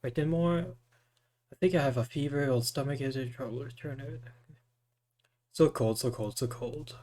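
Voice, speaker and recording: A man streaming a game, commenting casually and reading aloud in a halting way, stumbling over words. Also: medium pitch, darkish tone.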